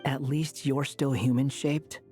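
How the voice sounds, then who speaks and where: A man's voice: mid-range voice, steady tone, measured pace, a little mellow, soft background music, close to an everyday voice. A man voicing an aloof, weary, matter-of-fact wanderer in a video game, with a dry manner. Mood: dry, resigned. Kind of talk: video game character acting.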